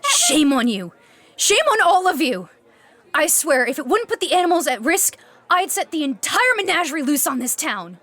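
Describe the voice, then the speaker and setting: A woman's voice: high voice, sing-song, moderate pace, a bit bright. An actress playing an indignant, outspoken young woman who aspires to be a beastmaster, in a fantasy comedy audio drama. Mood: bitter. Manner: exasperated, outspoken.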